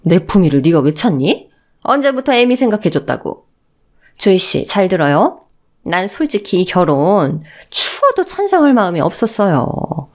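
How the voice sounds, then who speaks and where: A woman's voice: fairly low pitch, big pitch swings. Woman acting a venomous, haughty mother-in-law, wildly swooping. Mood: indignant. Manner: sneering, rapid-fire berating. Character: haughty, venomous middle-aged mother-in-law, openly scornful. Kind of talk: radio story drama acting.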